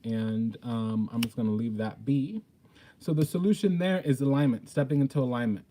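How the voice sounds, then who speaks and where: A man with a soft-edged, mellow voice at an average pitch hosts an informal tarot reading. He talks bluntly and sassily, swearing freely. His delivery is emphatic and theatrical. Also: normal speed. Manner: blunt, sassy, profane. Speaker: tarot reader, host.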